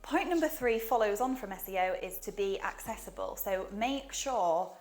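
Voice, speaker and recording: A woman's voice: medium pitch, lively intonation, normal speed, a little mellow, soft background music. A woman with a Yorkshire accent giving casual, upbeat advice on teaching online, chatty and full of asides. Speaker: online English teaching coach.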